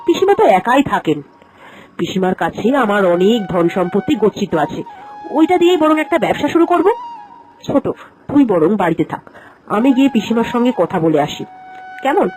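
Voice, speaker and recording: A woman's voice: mid-range voice, very animated, a little fast, long pauses, a little mellow, lightly acted, low-quality recording. A woman playing a caring, cautious village housewife, an elder sister-in-law, in a moral-story cartoon, speaking earnestly and expressively. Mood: resolute.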